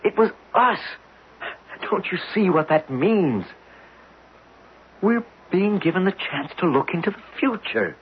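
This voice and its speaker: An American actor in a 1970s radio drama, playing an earnest, desperate geologist who pleads insistently in a husky voice. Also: male voice, high-pitched, lively intonation, unhurried, long pauses, slight background noise. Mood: earnest, excited. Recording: muffled lo-fi 1970s radio recording.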